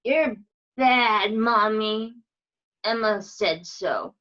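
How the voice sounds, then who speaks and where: A woman's voice: mid-range voice, very slow, a bit bright, lo-fi sound. A woman playing a hungry, menacing undead child in a radio drama. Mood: menacing hunger.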